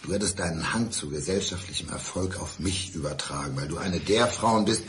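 A man's voice: low voice, fairly quick, fairly bright tone, slight background noise. An actor playing a bitter, brooding blind divorced man who needles his ex-wife in a radio crime drama. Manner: brooding, sardonic, insistent. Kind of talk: 1990s German radio crime drama acting.

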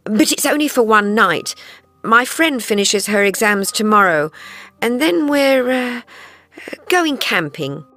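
A man's voice: sits high, lively intonation, unhurried, pushed and bright, dry recording, voice pushed up, full character voice. A man voicing a nervous young girl. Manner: nervous, careful. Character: teenage girl, quick-thinking, bluffing nervously.

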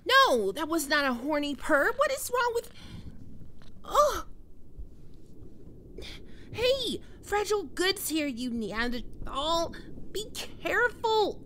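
Woman playing a slow-talking, prickly, flustered young catgirl. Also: very high voice, big pitch swings, pushed and bright, slight background noise, full character voice. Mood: mock outrage. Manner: halting, teasing, defensive. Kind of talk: ASMR roleplay, intimate acted monologue.

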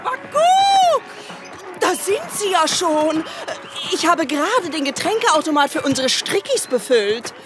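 A woman's voice: very high voice, big pitch swings, a little slow, loud music behind the voice, heavily acted. A woman playing a proud, chirpy hen who runs a farm and boasts like a tour guide in a comic radio play. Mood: proud, welcoming. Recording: noisy sound-effect ambience behind.

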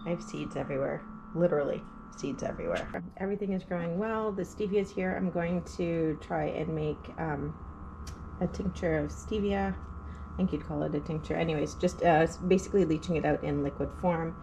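Thirties woman, low-ish voice, friendly garden-vlog host, noisy home recording. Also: fairly quick, gravelly. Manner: chatty and upbeat, walking through her plants. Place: house interior, rooms and furnace room. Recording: noisy home room with furnace hum.

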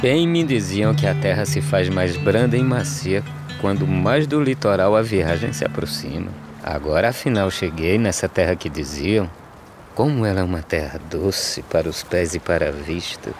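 Animated film: poor, tired male farmhand from the drylands, lowish pitch, declaimed rhyme, clear musical backing. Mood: hopeful wonder. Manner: measured, declaimed rhyming delivery. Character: poor, weary migrant farm labourer from the dry backlands. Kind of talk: verse drama in an animated film. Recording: music behind the voice.

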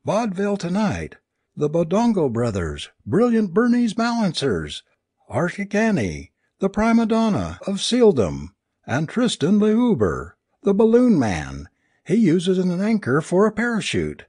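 Man voicing a high, distraught, sarcastic woman. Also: wildly swooping pitch, a little slow, a little mellow, breathy edge, dry recording, studio quality, exaggerated voice. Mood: bitter mockery. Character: distraught, bitterly sarcastic woman, raving in despair.

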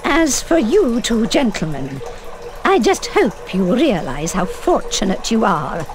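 A strict, proper older professor from a video game. She speaks formally in a clipped manner, at a middling pitch with plenty of rise and fall. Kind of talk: video game cutscene acting.

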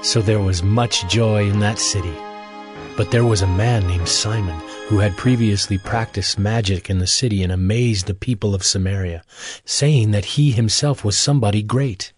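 A man's voice: on the low side, normal speed, slightly rough. A man giving scripted narration for a dramatized scripture audio production. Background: music.

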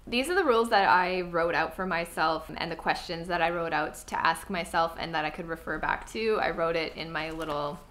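A woman's voice: on the low side, fairly quick, few pauses, a bit bright. A young woman talking to camera at home in an earnest, chatty way, a little congested from a cold. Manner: earnest, chatty, lots of fillers. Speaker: style vlogger. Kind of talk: casual talk to camera. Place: home room with clothing rack.

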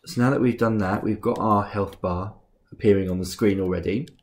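A young man with a cold, sounding husky and rough and a bit bunged up, calmly talking through a game-making tutorial step by step with frequent ums. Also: lowish voice, a little fast. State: congested from a cold. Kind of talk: screen-recorded software tutorial.

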